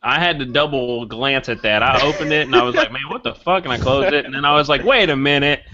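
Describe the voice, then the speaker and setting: A man's voice: medium pitch, fast, pushed and bright, lo-fi sound, a little hiss or hum. A man on a gaming podcast, casually telling a funny story in a laid-back way. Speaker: streamer guest. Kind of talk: casual chat.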